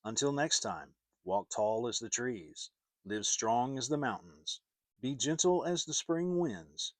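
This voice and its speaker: A man's voice: medium pitch, expressive, slow pace, dry recording. A man giving a dramatic narration of a children's story, as an audiobook reader.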